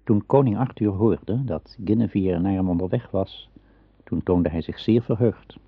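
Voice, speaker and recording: A man narrating an Arthurian legend in a radio play, measured and solemn like a storybook teller. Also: sits low, creaky, breathy edge, dry recording, exaggerated voice. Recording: dull old radio drama recording.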